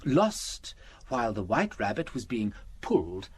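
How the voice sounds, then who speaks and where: A gentle, shrewd priest sleuth in a British radio mystery. His pitch moves freely as he explains things politely and a little hesitantly, over faint background noise. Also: sing-song, measured pace. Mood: patient explaining. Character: gentle, shrewd, mild-mannered priest amateur detective. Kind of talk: British radio drama acting.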